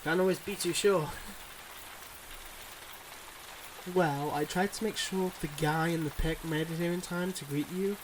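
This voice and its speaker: A man's voice: fairly high pitch, steady tone, normal speed, background noise, natural voice. A man playing a teasing, self-deprecating young boyfriend in an intimate roleplay audio drama, speaking straight to the listener with playful banter.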